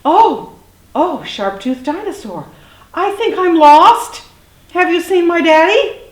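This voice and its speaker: A woman's voice: high voice, unhurried, echoey room, pitched above the performer's own voice. A woman voicing a small, frightened, earnest boy dinosaur who pleads for help in a storybook tale. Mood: anxious pleading. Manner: wailing calls, pleading.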